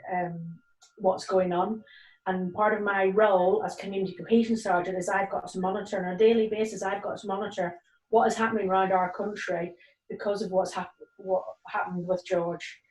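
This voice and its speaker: A woman's voice: medium pitch, steady tone, moderate pace, darkish tone. A woman in her forties, a police community cohesion sergeant, speaking earnestly on a video call with many ums and restarts. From Nigeria and Northern Ireland. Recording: roomy, distant video call audio.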